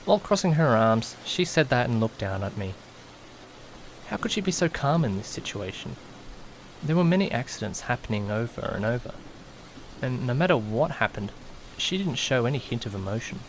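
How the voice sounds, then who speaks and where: A man acts out an anxious young man telling his own story in an audio drama. He is dramatic and exclamatory, questioning himself and easily panicked. His voice sits at a middling male pitch over background noise. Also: big pitch swings, moderate pace, low-quality recording. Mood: tense narration. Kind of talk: first-person audio drama narration with acted dialogue.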